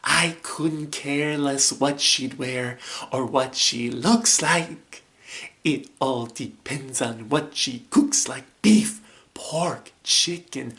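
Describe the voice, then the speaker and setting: A woman voicing a big, serene, food-loving male cartoon soldier with a soft, soothing, placid manner. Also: very deep voice, very animated, very slow, long pauses, heavily acted. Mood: dreamy.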